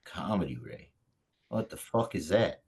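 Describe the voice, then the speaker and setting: A man's voice: sits low, sing-song, unhurried, gravelly, a little mellow, husky, full character voice. A man playing a casual, foul-mouthed, gullible guy fishing for news in a comedy table read. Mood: incredulous.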